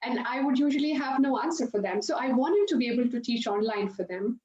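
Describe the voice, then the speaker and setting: A woman's voice: fairly high pitch, lively intonation, fairly quick, darkish tone. A woman, a yoga instructor chatting as an interview guest and laughing often as she tells stories. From India. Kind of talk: casual interview storytelling. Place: her home yoga studio. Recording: roomy, dull video-call audio with faint noise.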